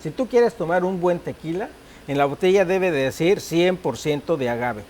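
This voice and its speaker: A Mexican man with a Mexican Spanish accent takes part as a guest on a talk show. He explains things calmly in a fairly high voice, with faint noise underneath. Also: normal speed. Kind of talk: conversational interview.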